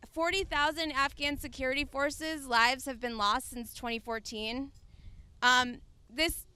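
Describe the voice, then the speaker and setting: A woman giving semi-prepared closing remarks at a press conference, somewhat halting as she searches for words. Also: high-pitched, pushed and bright, smooth, no room sound.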